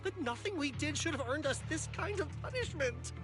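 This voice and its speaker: A woman's voice: sits high, animated, moderate pace. A woman playing a small, argumentative raider in a video game, whining, protesting and pleading. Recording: game music behind the voice.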